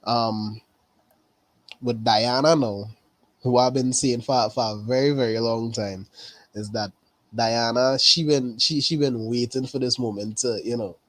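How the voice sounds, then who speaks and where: A man's voice: mid-range voice, fairly even intonation, dry recording. Laid-back Trinidadian man, dry sound. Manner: unhurried, repeats phrases, says "really and truly". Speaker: caller. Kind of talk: casual chat about a TV episode.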